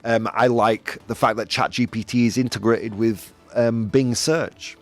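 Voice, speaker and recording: A man hosting a casual opinion show, talking in an animated, rambling way with lots of fillers and self-corrections. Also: mid-range voice, measured pace, faint music behind. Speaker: podcast host. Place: Spain.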